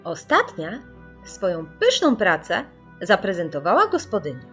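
A woman reading a children's story in an expressive, theatrical storytelling manner. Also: lowish voice, moderate pace, soft background music. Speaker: audiobook narrator.

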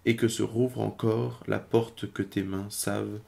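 A man reading poetry aloud as an amateur, in a solemn recitation with measured, declamatory phrasing and pauses. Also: on the low side, flat delivery, vocal fry, warm tone.

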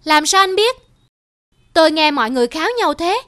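A woman's voice: high-pitched, animated, fairly quick, a bit bright, no room sound, studio quality. A woman voicing a sensible, admonishing vulture in a cartoon fable. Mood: cautioning.

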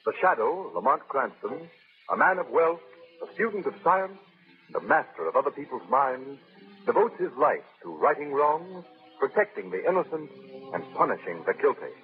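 A man's voice: fairly high pitch, big pitch swings, moderate pace, soft background music. A man announcing a 1930s radio drama in an emphatic, persuasive manner, from a tinny old broadcast.

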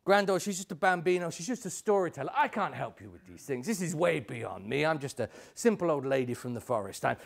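A man's voice: on the high side, sing-song, fast, gravelly, hardly any pauses, full character voice. A man voicing a cunning, demanding old wild woman magician in a storytelling performance. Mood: feigned reluctance.

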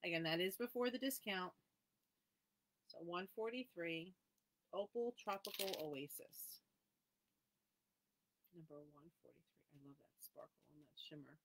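A woman's voice: on the low side, measured pace, long pauses, a bit bright, a little hiss or hum, poor audio. A woman hosting a live needlework sale, reading out item numbers and prices and chatting with viewers by name. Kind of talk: live sales presentation, casual chat.

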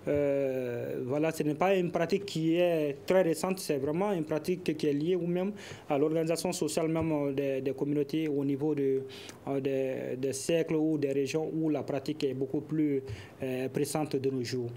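A young man, a guest expert on a studio panel, explaining in a measured way with frequent hesitations. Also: on the high side, steady tone, dark tone, slight background noise. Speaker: researcher guest. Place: television studio.

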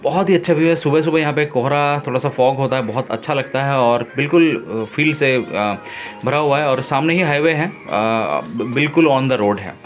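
A man narrates a casual travel vlog in a relaxed, enthusiastic way and pauses often on fillers. He speaks at a middle pitch into a handheld phone outdoors, with faint music under him. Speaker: travel vlogger. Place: outdoors on a river boat and island.

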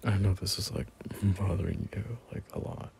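A man playing a gentle, caring boyfriend at bedtime in an intimate ASMR roleplay, speaking softly in a breathy half-whisper with hesitant pauses. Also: deep voice, slight background noise. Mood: soothing, concerned. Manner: soft, slow, hesitant, reassuring.

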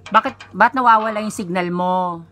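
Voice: mid-range voice, moderate pace, pushed and bright, soft background music. A Filipino vlogger with a playful, giggly way of talking, chatting casually while making prank phone calls.

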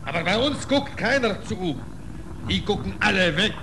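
An actor playing a forlorn, resigned refugee sailor who speaks in short plaintive phrases, in 1940s radio drama style. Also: male voice, high-pitched, animated, moderate pace. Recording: noisy 1940s radio recording.

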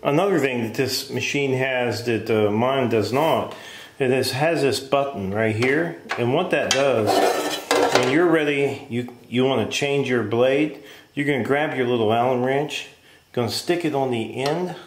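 A man's voice: mid-range voice, measured pace. A middle-aged American man giving a casual hands-on tool demonstration in a plainspoken, folksy way. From United States. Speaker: homestead vlogger reviewing a tool. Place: open wooden workshop shed. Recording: roomy echo, clear background noise, clatter.

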